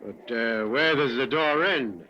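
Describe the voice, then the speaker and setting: A man playing a brisk police inspector. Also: mid-range voice, natural voice. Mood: commanding. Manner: brisk, commanding. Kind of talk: 1940s radio acting. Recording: dull lo-fi old radio recording, faint hiss.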